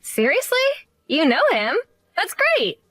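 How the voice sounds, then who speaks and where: A bubbly, patronizing young bride-to-be, voiced by a woman, her high voice bouncing up and down as she chatters. The recording is dry and close, with no room around it. She sounds sweet and faintly talks down to the listener. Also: wildly swooping pitch, takes it slowly, a bit bright, no room sound, exaggerated voice. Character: cheerful, condescending young bride-to-be sister. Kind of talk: voiced story drama.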